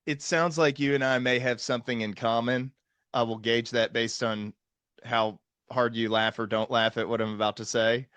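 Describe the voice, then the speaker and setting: Male podcast host, mid pitch, earnest and emphatic, deliberate delivery, very dry recording. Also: fairly even intonation, fairly bright tone, clear, resonant tone, no echo. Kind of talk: earnest conversational podcast talk.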